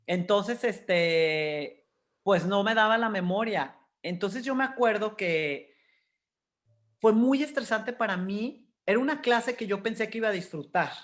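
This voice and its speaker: A woman's voice: mid-range voice, measured pace. A woman in her fifties with a Mexican accent, telling personal stories candidly and expressively as an interview guest. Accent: Mexican Spanish. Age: 50s. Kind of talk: candid personal storytelling in conversation.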